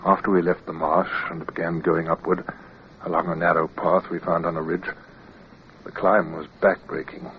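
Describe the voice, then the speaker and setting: An actor playing an eager, anxious young British naval lieutenant in a radio drama, speaking in a hesitant, deferential and earnest manner. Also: male voice, low-pitched, flat delivery, moderate pace. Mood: tense, narrating. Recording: muffled, noisy old radio recording.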